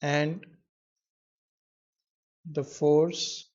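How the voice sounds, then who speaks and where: Male tutor, slightly high voice, unhurried step-by-step explaining, pauses between phrases, dull lo-fi recording. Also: level tone, darkish tone. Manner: measured, pausing while writing out answers. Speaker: physics tutor. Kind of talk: tutorial explanation of an exam question. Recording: dull lo-fi microphone sound.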